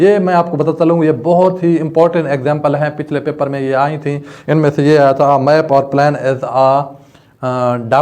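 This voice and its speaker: A male teacher with a Pakistani accent gives a didactic lecture in a fairly high voice. His delivery is very slow and deliberate. He moves between reading and explaining, setting up questions that he answers himself. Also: darkish tone. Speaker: law teacher. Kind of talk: lecture, reading clauses aloud and explaining them.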